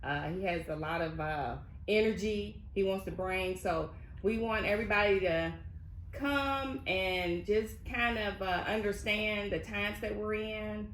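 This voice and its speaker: A woman's voice: medium pitch, unhurried. An older woman, speaking as a union guest in a friendly, hesitant way with many ums. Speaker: community services member, guest. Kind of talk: casual interview. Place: union hall. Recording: echoey room, faint noise.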